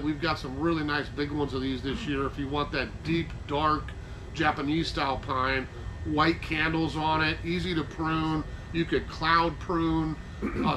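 Older American male presenter, fairly high voice, chatty teaching style, light ambient noise. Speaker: nursery class presenter. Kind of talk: informal gardening lecture. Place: covered wooden garden-nursery structure. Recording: noticeable background noise.

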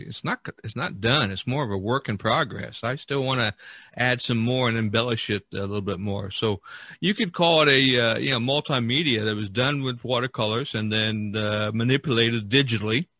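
A middle-aged or older man, a painter and podcast host, talking casually about art in a halting, searching way. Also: medium pitch, sharp tone, no room sound.

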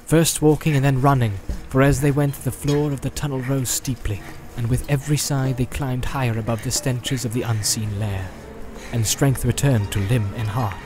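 A man narrating a fantasy audiobook in a solemn, measured, dramatic literary style. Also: medium pitch, steady tone. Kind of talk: dramatic scripted storybook narration. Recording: loud background music and sound effects. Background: loud cinematic music.

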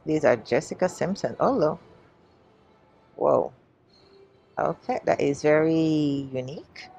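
A woman's voice: deep voice, animated, slow pace, gravelly, dark tone. A woman casually chatting to viewers as she browses in a large store, musing aloud as a shopping vlogger. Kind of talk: casual shop-with-me vlog chat. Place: inside a large shoe store. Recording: faint background store noise.

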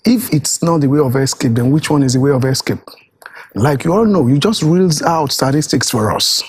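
Male pastor guest, raised pitch, very husky tone, emphatic and preacherly. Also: darkish tone. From Nigeria. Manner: emphatic, repeats phrases, preacherly. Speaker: pastor and youth evangelist, guest. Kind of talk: earnest guest answers in an interview. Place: studio.

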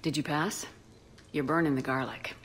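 Woman as a low-voiced, wry space commander. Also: steady tone, moderate pace, natural voice. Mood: flirtatious, wry. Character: confident, wry female military space commander. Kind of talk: video game cutscene acting. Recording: game music behind the voice.

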